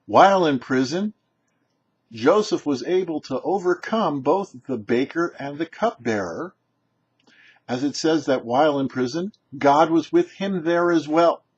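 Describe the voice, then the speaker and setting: A man presenting a short religious teaching as a rabbi, explaining deliberately with emphatic pauses. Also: a little high, animated, slightly rough. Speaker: rabbi presenting a weekly Torah portion show. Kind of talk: short religious teaching, read to camera or mic. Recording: dull, muffled lo-fi sound.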